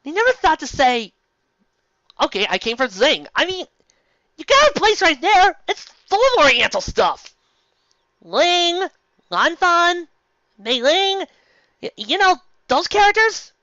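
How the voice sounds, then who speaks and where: Theatrical reviewer, exasperated, swooping pitch, forceful tone, mocking reading, dry lo-fi sound. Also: big pitch swings, measured pace, long pauses, dry recording. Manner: theatrical, exasperated, prone to sudden outbursts. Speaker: fan fiction reviewer, show host. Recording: dull lo-fi recording.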